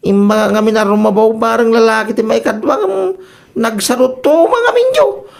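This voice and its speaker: Guy acting scheming excitable husband, ilocano radio comedy, voice swings up and down a lot. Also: extremely high pitch, moderate pace, creaky, full character voice. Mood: defensive, scheming. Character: excitable husband scheming to father a son for inheritance.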